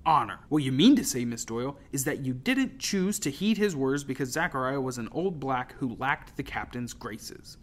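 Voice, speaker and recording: A man's voice: medium pitch, lively intonation, normal speed, hardly any pauses, lightly acted. Man doing a growling, scornful hulking sailor. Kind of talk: audiobook character acting.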